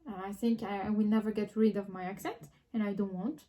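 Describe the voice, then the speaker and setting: French-accented woman with a mellow voice. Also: medium pitch, fairly even intonation, a little fast. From France. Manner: frequent restarts and hesitations, reflective. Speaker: vlogger. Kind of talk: casual personal vlog.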